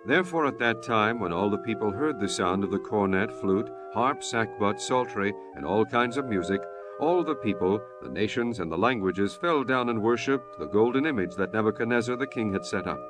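A man narrating scripture from a script in a measured, formal manner. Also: on the low side, lively intonation, music in the background.

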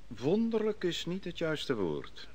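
Solemn prophet Daniel. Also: male voice, a little high, huge pitch swings, a little mellow, heavily acted. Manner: measured, solemn storytelling. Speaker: narrating prophet. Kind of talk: 1950s Dutch radio drama acting.

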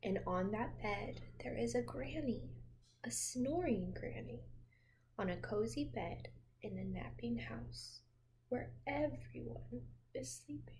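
A woman in her twenties reading a picture book aloud to young children in a gentle, expressive teacher's manner. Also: a little high, slight background noise, low-quality recording. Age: 20s. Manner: slow, gentle, expressive, addressing young listeners with questions. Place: small home room with windows, indoors.

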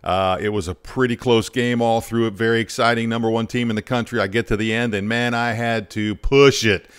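A man's voice: mid-range voice, fairly even intonation, fairly quick, clean tone. A man in his fifties hosting a conversational sports interview, speaking into a close broadcast mic. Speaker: podcast host, sports broadcaster. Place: home studio in a furnished den. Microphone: close broadcast mic on a boom arm.

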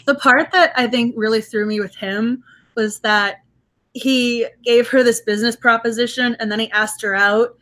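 Young woman with a bright, slightly high voice that sounds strained from overuse; an eager book club host on a webcam. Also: fairly even intonation, normal speed. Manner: enthusiastic, opinionated. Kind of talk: casual video call chat. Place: home room with bookshelves. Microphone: webcam on video call.

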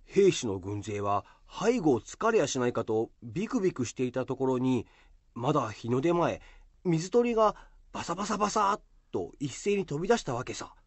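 Man playing an animated, earnest schoolboy. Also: medium pitch, normal speed, slightly rough, dry recording. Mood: eager explaining. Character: earnest history-loving schoolboy, modest and eager. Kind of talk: amateur radio drama.

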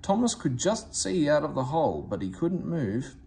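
Man, storybook read-aloud, highly animated delivery, theatrical, faint room noise. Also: moderate pace, gravelly. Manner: animated, theatrical with character voices. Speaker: storybook reader.

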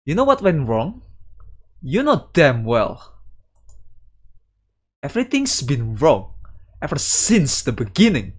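A frightened, distrustful man from a visual novel, acted out by a male reader. He is loud, emphatic and defiant, with pitch that swings widely. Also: huge pitch swings, takes it slowly, long pauses, slightly rough, heavily acted. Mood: bitter. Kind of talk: visual novel character voicing.

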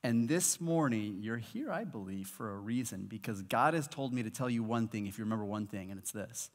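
A man's voice: fairly low pitch, brisk. A man in his thirties, a pastor from Malawi, preaching a sermon on stage with a headset mic and acting out the voices in his stories. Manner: animated storytelling preacher, acts out quoted voices. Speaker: high school pastor. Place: large church auditorium stage with audience. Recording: long reverb of a large hall.

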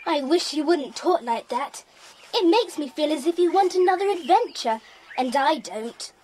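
A small, sensible, easily worried English girl in a children's adventure play. The voice is very high and animated. She speaks earnestly, a little plaintively, over faint noise. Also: moderate pace. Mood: anxious. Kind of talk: children's audio drama acting.

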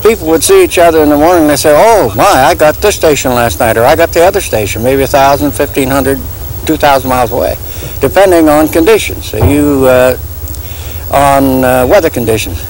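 Older male, sixties, casual halting storyteller, recorded outdoors with some background noise. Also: a little high, a little mellow, poor audio. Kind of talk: casual chat, reminiscing. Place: outdoor parking lot swap meet. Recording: outdoor noise, passing vehicles.

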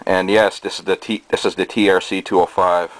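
A man giving a casual show-and-tell in a halting, hesitant way, recorded indoors on a handheld camera's microphone. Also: deep voice, dry recording, low-quality recording. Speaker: hobbyist presenter. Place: small cluttered bedroom indoors. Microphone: handheld camera's built-in microphone. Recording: steady noise, amateur camera sound.

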